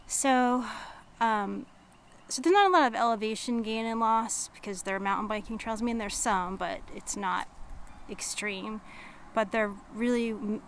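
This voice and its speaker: A woman's voice: fairly high pitch, slight background noise. A middle-aged American woman chatting casually and informally to camera as a hiking vlogger, rambling a little as she goes. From United States.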